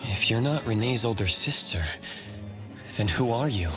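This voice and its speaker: An actor playing a calm, level-headed young companion in a video game, reasoned and matter-of-fact. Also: male voice, medium pitch, normal speed, over music, natural voice. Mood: questioning.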